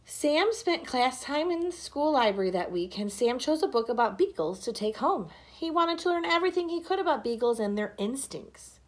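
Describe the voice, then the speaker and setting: A woman's voice: high voice, big pitch swings, moderate pace, hardly any pauses. A woman reading a scripted children's story aloud as narrator. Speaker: storybook reader.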